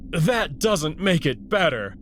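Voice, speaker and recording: A man's voice: a little high, sing-song, slow, a bit bright, a little hiss or hum, exaggerated voice. A man playing an anxious, indignant human abductee who questions his captor in a visual novel. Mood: annoyed, panicked.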